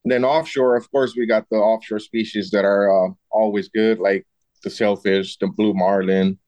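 A man in his late thirties, from Costa Rica and raised in the US Midwest, giving friendly explanatory interview answers with frequent pauses. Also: lowish voice, steady tone, a little mellow, no echo, low-quality recording. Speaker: fishing director guest.

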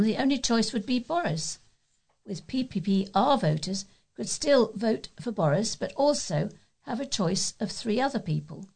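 A woman's voice: a little high, vocal fry, husky. A woman reading local newspaper letters aloud as a volunteer newsreader.

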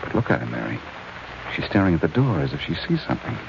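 An actor playing a cool-headed, wry detective in a 1940s radio mystery. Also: male voice, extremely low pitch, sing-song, fast, vocal fry, noisy background, heavily acted. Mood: grave, wondering. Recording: muffled old radio recording, music behind.

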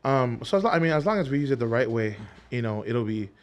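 A man's voice: mid-range voice, fast. Easygoing man, musician guest. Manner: relaxed, easygoing, loose phrasing. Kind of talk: casual interview chat.

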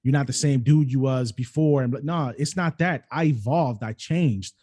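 A man's voice: mid-range voice, normal speed, slightly rough. A middle-aged man hosting a podcast, talking casually and emphatically, grown up in New York City and Cleveland. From New York City and Cleveland, Ohio. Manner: emphatic, animated storyteller. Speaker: podcast host. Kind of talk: casual interview chat.

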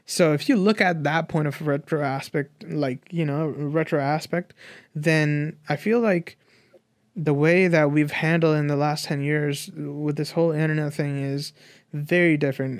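A man's voice: a little high, no echo, studio quality. A man in his twenties from Nepal, giving his opinions in a casual, earnest, rambling conversational way as a guest. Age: 20s. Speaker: interview guest.

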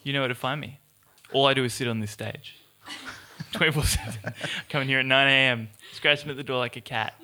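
A man's voice: mid-range voice, fairly even intonation, brisk, bright, forceful tone, slight background noise. A man hosting a casual onstage chat, joking and laughing often. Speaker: host.

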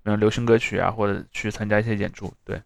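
Guy on a podcast explaining stuff step by step, talks fast, really dry recording no room sound. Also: on the low side, flat delivery, creaky, no echo. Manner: explains step by step, rephrasing and defining terms. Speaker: podcast co-host. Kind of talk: casual explanatory discussion.

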